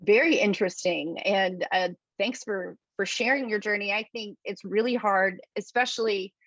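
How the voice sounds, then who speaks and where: A woman's voice: medium pitch, moderate pace, a bit bright, dry recording. A woman hosting an interview, affirming and thoughtful as she responds to her guest, heard over a remote call. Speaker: interview host. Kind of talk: casual interview. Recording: dull dry lo-fi audio.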